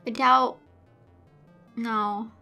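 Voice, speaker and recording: High-pitched, bright-voiced woman. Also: vocal fry, clear, resonant tone. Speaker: host reading and reviewing fan fiction. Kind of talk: reading a story aloud with casual commentary. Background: music.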